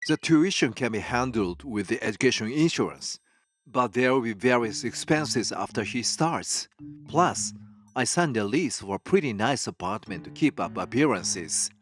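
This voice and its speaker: An earnest middle-aged father played by a man speaks apologetically in a manga dub. His mid-pitched voice moves widely up and down, with light music behind it. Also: animated, normal speed, a bit bright, close to an everyday voice. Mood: apologetic.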